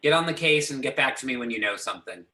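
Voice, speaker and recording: A man's voice: medium pitch, lively intonation, fast talker, bright, forceful tone. A man playing a businesslike agency boss briefing agents, reading in an amateur readers theater over a video call. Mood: matter-of-fact. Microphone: video or conference call. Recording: echoey room over video conference.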